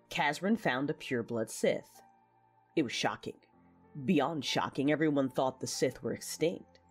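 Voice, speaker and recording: A woman's voice: deep voice, husky. A woman playing a reflective, war-weary young female knight, narrating her memories earnestly in an audio drama. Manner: earnest, reminiscing.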